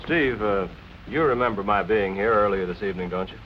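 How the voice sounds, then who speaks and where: Man acting as low-voiced anxious noir murder suspect. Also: fairly quick, a bit bright, slight background noise, lightly acted, low-quality recording. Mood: uneasy, subdued. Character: anxious husband suspected of his wife's murder. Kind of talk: 1940s film noir acting.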